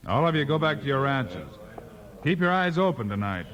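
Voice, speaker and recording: An American actor playing a steady, commanding frontier marshal in a 1950s western radio drama. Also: male voice, mid-range voice, normal speed. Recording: dull old radio recording.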